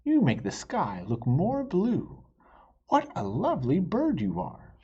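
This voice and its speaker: A sly male fox from a children's storybook, all sugary flattery and coaxing charm, as a man reading aloud puts him on. The voice sits raised and husky, swooping eagerly. The recording sounds dull and a bit lo-fi. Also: fairly high pitch, slow, voice pushed up, full character voice. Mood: flattering.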